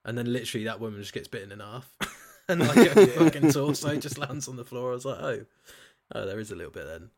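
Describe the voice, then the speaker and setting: A man's voice: medium pitch, animated, fast talker, a little mellow. A man chatting casually about films, jokey and sweary, telling it with quoted asides. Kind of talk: casual film chat.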